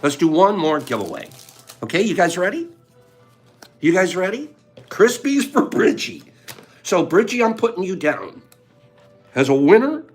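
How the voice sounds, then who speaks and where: A man hosting a casual livestream, chatting with viewers and running giveaways in a playful, teasing way. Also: high voice. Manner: playful, teasing, talks straight to chat. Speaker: livestream host.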